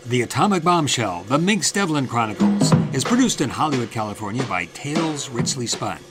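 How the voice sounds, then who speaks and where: A male announcer delivers scripted closing credits and episode teasers for a drama podcast. His voice sits at a middling pitch, with loud music playing behind it. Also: big pitch swings, brisk.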